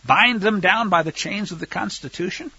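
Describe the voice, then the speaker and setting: An American man with a husky voice preaching a Bible lesson in an emphatic, sarcastic way, full of rhetorical questions. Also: high voice, normal speed, sharp tone, no room sound, lo-fi sound. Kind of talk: sermon, Bible teaching with political commentary.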